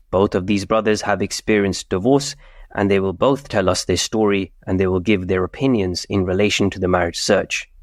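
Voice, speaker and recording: Casting: male podcast host, low voice, dry close sound, measured and formal delivery. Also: no room sound. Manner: measured, formal welcoming tone with Arabic greetings. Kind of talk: podcast hosting with scripted intro.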